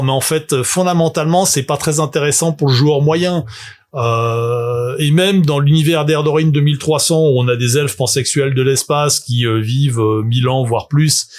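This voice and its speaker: A man, rambling through a casual livestream full of fillers and self-mocking asides. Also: medium pitch. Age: 40s. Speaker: tabletop game author and streamer.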